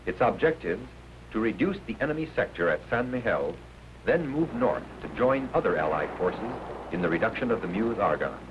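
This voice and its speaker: A man giving formal, measured, emphatic scripted narration in the manner of a 1950s educational film. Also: lowish voice, sing-song. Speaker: documentary narrator. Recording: dull, noisy 1950s film soundtrack.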